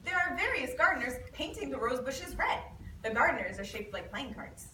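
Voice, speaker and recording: A bright, very high-voiced storybook player, a woman reading on stage, lively in pitch. The sound is distant and echoey. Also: fairly quick, full character voice. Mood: announcing. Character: narrator, herald and small grumbling creature in storybook play. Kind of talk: readers theater stage performance. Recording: distant echoey room, faint noise.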